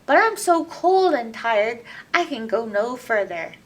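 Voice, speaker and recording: A woman's voice: on the high side, very animated, a little slow. A woman voicing a small, cold, weary female bluebird who speaks weakly in a children's storybook. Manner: weak, tired. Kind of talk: children's storybook read-aloud. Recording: distant mic with background noise.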